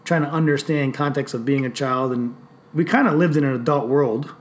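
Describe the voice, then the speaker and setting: A man in his late thirties talking casually on a podcast, animated and swearing freely as he tells stories. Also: medium pitch, brisk, a little hiss or hum. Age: 30s. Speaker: podcast host.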